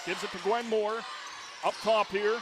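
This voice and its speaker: High-voiced man calling basketball on radio. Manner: rapid, excited calls that rise to shouts on big plays. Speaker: radio play-by-play announcer. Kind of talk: live basketball play-by-play. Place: high school gym. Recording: radio broadcast with loud gym noise.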